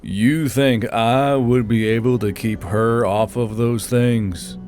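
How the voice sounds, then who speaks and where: A man's voice: medium pitch, fairly even intonation, slow pace, darkish tone, natural voice. A man voicing an easygoing, offhand human man with a muttering delivery in an audiobook. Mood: resigned. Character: easygoing, casual human man, an office boss named Yul. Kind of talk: audiobook character dialogue.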